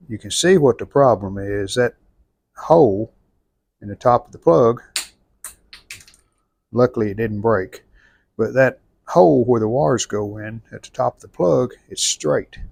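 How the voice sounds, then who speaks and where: Slow, gravelly American man. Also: medium pitch, a little mellow, dry recording. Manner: folksy, plainspoken, thinking aloud while working. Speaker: host of a radio restoration channel. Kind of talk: unscripted hands-on repair narration.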